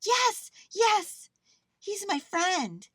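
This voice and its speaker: A little girl, voiced by a woman reading a storybook, talks in a high, lively voice. She is affectionate and earnest, eager and a touch chiding. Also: unhurried, no room sound, studio quality, full character voice. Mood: overjoyed.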